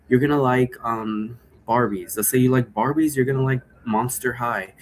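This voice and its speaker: A man giving a rambling, informal explanation, thinking aloud and wandering between points. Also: medium pitch. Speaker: game creator explaining role-play rules. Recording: noticeable background noise.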